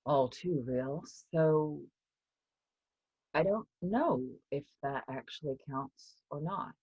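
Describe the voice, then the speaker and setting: A reluctant, haunted professor played by a woman in a stage drama, speaking low and husky. She is measured and guarded, and the recording is dull and low-fidelity. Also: no echo, exaggerated voice. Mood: guarded, troubled. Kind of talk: staged play reading.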